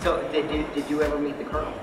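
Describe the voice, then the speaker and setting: An upbeat man in his forties chatting through a documentary, laughing often. His voice sits a bit high and is caught from a distance in echoey spaces, with music under it. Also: monotone, racing. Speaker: documentary host and narrator. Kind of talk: casual documentary vlog narration. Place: various indoor and outdoor locations.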